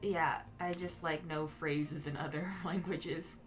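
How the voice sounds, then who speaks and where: Slow-talking woman streamer, chatty. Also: sits low. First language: Mandarin. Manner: relaxed, musing, chatty. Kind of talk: casual chat with viewers while playing a game. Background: game music.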